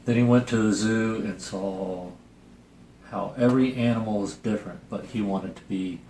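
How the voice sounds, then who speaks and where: A man's voice: on the low side, steady tone, normal speed, warm tone. A middle-aged man with dry, wry humor hosting a casual picture book reading and review. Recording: roomy echo, noticeable background hiss.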